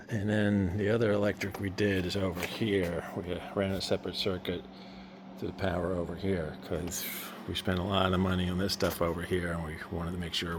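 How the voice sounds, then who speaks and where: Low-voiced man giving a casual walkthrough. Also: background noise. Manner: informal, explanatory. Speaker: nonprofit staffer presenting a project. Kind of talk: casual walkthrough video narration. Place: large school auditorium with balcony, indoors.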